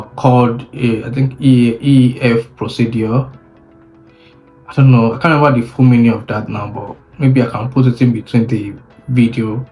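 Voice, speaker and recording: Man, Nigerian English accent, young adult, mid-pitched, relaxed storytelling, frequent restarts, soft music underneath. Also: rough recording. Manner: unhurried, with frequent restarts and self-corrections. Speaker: vlogger. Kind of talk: casual storytelling to camera.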